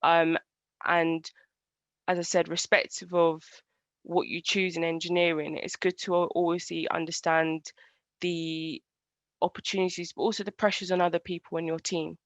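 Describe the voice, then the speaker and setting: A woman engineer giving an online presentation in a halting, earnest way, over a video call. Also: on the low side, flat delivery, dry recording. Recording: dull lo-fi video call audio.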